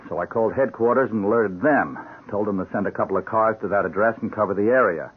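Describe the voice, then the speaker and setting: An actor playing an earnest, composed police sergeant in a radio drama, explaining things with urgency. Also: male voice, fairly low pitch, fast talker, husky. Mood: grim, composed. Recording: narrow-band hissy old radio recording.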